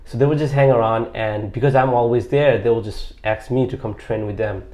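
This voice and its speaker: Man, late twenties, from Bangladesh, interview guest, hesitant, rambling, mid-pitched voice. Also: steady tone, brisk, warm tone. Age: late 20s. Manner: rambling, hesitant, many restarts and fillers. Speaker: interview guest, squash coach. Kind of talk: casual interview storytelling.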